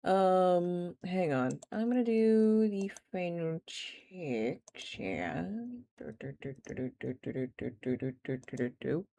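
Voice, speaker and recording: A woman streaming a game, chatting casually with her viewers and laughing often, her voice rough and tired from just waking up. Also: on the low side, takes it slowly, mellow. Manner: chatty, giggly, talks to chat while playing. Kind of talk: casual gaming livestream chat.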